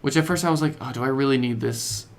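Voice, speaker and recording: A man's voice: medium pitch, quick pace. A man chatting casually as a podcast co-host about video games, telling stories in slangy, informal language.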